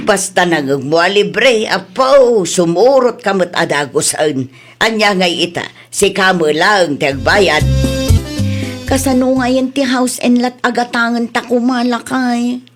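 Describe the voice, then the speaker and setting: A woman's voice: mid-range voice, measured pace, soft background music, close to an everyday voice. A woman with an Ilocano accent playing a meek, pleading wife and mother in a comedy radio drama. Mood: reproachful.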